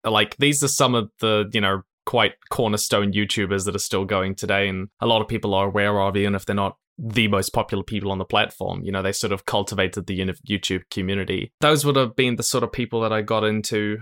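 A man's voice: on the low side, steady tone, quick pace, hardly any pauses, a bit bright. A young man with a New Zealand accent answers interview questions casually, in a chatty, informal way with frequent asides and self-corrections. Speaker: interview guest, online video creator.

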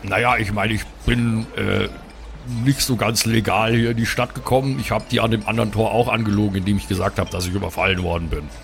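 Tabletop audio drama: anxious, protective adventurer played by a man, fry in the voice, rambling and unsure, music behind. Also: on the low side, fairly even intonation, fast. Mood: hesitant, cautious. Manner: hesitant, apologetic, rambling. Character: hesitant, worried male adventurer, fatherly to his brothers.

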